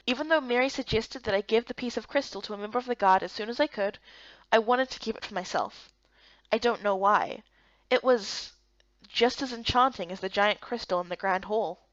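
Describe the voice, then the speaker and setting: A woman's voice: fairly high pitch, fairly quick, a bit bright, dry recording, lo-fi sound. A woman reading scripted first-person narration from a visual novel. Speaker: narrator and lead character reader.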